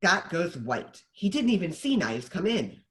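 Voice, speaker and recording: A man's voice: high-pitched, very animated, unhurried, fairly bright tone. A man narrating the stage directions of a screenplay at a table read.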